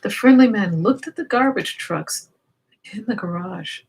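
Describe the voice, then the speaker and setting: Slow-paced woman reading to children. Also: mid-range voice, creaky, breathy edge. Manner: expressive, explains and poses questions to children. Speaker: children's storybook reader. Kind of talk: read-aloud storytelling with asides to young listeners.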